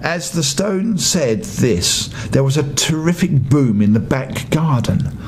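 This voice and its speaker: An older man narrating a children's bedtime story as an expressive storybook reader. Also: fairly high pitch, takes it slowly, background noise.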